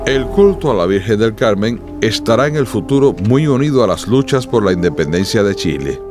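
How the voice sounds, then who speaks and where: A man giving solemn, measured, dramatic scripted narration for a radio drama. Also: on the low side, expressive, over music.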